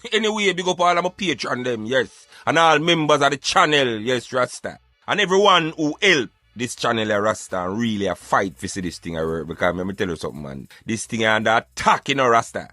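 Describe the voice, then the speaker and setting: A Jamaican man hosting a casual talk show in patois, animated and emphatic as he addresses his listeners. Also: medium pitch, fairly quick, no echo, clean studio sound. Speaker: radio show host.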